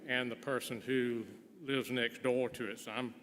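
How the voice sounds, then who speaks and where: A man gives informal testimony at a public hearing in a bright, forward voice. He speaks haltingly, pausing mid-sentence, and the big meeting room rings around him over steady noise. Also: mid-range voice. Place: large indoor meeting room. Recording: echoey meeting hall with clear background noise.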